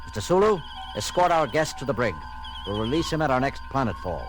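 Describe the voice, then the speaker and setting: A man playing a firm, dryly wry starship captain with a crisp, authoritative manner in a science-fiction audio drama. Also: mid-range voice, big pitch swings, a little fast, natural voice, rough recording. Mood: stern warning. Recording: loud music bed under dialogue.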